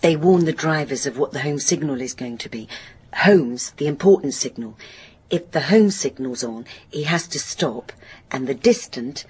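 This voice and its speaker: Middle-aged woman looking back, low husky voice, wistful, measured, explanatory, radio play. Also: expressive, darkish tone, heavily acted. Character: wistful grown woman recalling her railway girlhood. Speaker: narrating witness in a radio play. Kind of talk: reminiscing, naturalistic radio drama acting.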